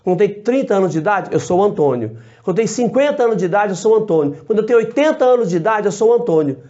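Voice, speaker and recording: Brief: male priest, fairly high but mellow voice, patient teacher, dull lo-fi sound. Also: expressive. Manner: measured, explanatory, short phrases with frequent tag questions. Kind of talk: theology teaching to camera. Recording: dull lo-fi recording.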